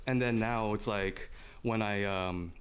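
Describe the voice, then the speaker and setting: A man's voice: on the low side, slow pace, a little hiss or hum. A young man co-hosting a podcast, talking in a laid-back, rambling way and laughing often. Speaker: podcast co-host. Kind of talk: casual chat.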